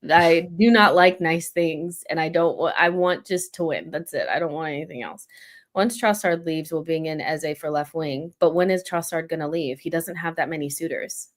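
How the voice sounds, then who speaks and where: A woman's voice: sits low, normal speed. A woman hosting a casual football chat, blunt and pessimistic. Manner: blunt, pessimistic fan venting. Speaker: host.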